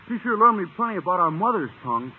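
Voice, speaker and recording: An actor playing a dim-witted, good-natured, guileless teenage student with a husky voice in a 1950s radio comedy. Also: male voice, high voice, big pitch swings, very noisy. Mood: cheerful, guileless. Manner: slow-witted, guileless, garbled grammar. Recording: tinny, band-limited old radio recording.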